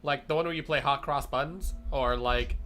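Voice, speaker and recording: A man's voice: mid-range voice, music in the background. A young man chatting casually as a streamer, playful and jokey, who grew up speaking Spanish. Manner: playful, jokey, laughs a lot, quick bursts. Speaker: streamer host. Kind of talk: casual chat.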